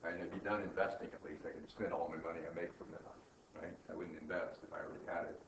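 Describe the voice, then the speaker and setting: A man's voice: low-pitched, steady tone, fast talker. Male teacher, conversational explainer who checks understanding; muffled, roomy recording. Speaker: finance teacher. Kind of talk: informal classroom explanation, thinking aloud. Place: indoor classroom. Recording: echoey room, distant mic, muffled, faint noise.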